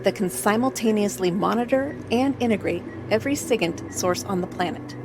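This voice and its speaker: A woman's voice: medium pitch, brisk, music in the background, close to an everyday voice. A woman playing a cold, menacing commander in an audio drama, controlled and threatening. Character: cold, menacing, calculating female commander giving orders. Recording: clear ambient noise behind the voice.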